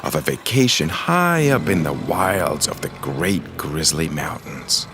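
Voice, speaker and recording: A man narrates a children's story in a low voice. His delivery is expressive and very animated in pitch, in the manner of a scripted read-along. Clear vehicle ambience runs behind him. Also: a little slow, noisy background.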